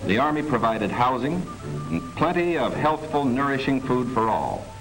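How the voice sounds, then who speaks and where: A man's voice: mid-range voice, big pitch swings, poor audio. 1940s American film narrator, man, music behind. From United States. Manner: measured, formal, declarative delivery. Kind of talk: 1940s wartime newsreel-style scripted narration.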